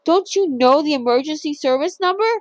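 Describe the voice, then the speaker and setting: A woman's voice: sits high, animated, a little fast, dry recording, pitched above the performer's own voice. A woman voicing a stern, commanding male former doctor in a storybook reading. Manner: curt, commanding.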